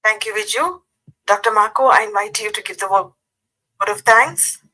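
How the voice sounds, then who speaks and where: A woman moderating a panel event, polite and brisk, with a few fillers as she moves things along. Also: medium pitch, pushed and bright, poor audio.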